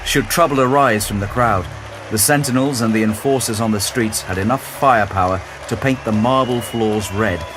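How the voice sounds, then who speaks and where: A man narrating a scripted audio drama in a measured, solemn, dramatic storytelling style. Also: on the low side, background noise.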